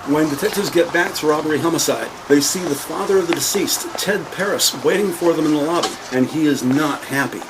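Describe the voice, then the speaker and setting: High-pitched male drama narrator. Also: a little fast, hardly any pauses, noisy background. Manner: descriptive, formal reading. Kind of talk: scripted audio drama narration.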